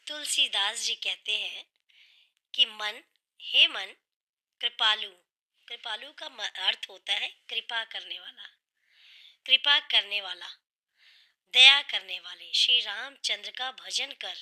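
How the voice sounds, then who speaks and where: A woman with a sore, hoarse throat, earnestly explaining a devotional hymn line by line and patiently restating each meaning. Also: mid-range voice, takes it slowly, pauses often, sharp tone. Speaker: devotional singer and channel host.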